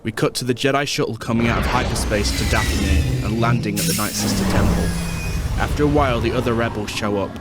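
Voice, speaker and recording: A man's voice: fairly low pitch, quick pace. A man narrating a scripted audio drama. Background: music.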